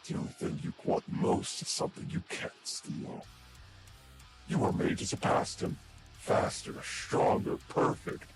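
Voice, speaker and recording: A man voicing a taunting monster villain for a game character reel, with a breathy, whispery rasp and a mocking, theatrical delivery. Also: close to an everyday voice. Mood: cold menace. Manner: slow, deliberate, mocking, theatrical. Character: sinister taunting male monster villain, menacing, patient and mocking. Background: prominent music.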